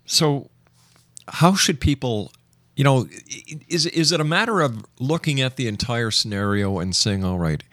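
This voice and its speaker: A man's voice: mid-range voice, animated, normal speed, a bit bright, dry recording. A man hosting a radio interview, putting questions to his guest in a conversational way.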